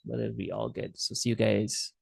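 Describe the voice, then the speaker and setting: Casual man with a gravelly voice. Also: fairly low pitch, flat delivery, normal speed, no room sound. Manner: casual, loose with many fillers and restarts. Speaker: instructor. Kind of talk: online class lecture.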